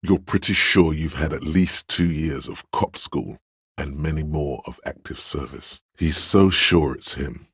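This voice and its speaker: An actor playing a commanding, domineering inner voice of authority in a video game, speaking with a very deep, resonant bass in a measured, imperious manner. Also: male voice, fairly bright tone, slightly rough, no echo, clean studio sound, full character voice. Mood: grave, measured. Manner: imperious, measured, scolding and goading. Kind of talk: dramatic game narration, inner-voice lines.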